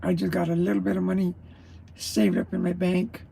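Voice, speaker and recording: A man's voice: sits high, level tone, fairly quick, warm tone, a little hiss or hum. A man, a truck driver, rambling through a casual morning livestream and greeting viewers as he chews his breakfast. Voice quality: gravelly. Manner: chewing while talking.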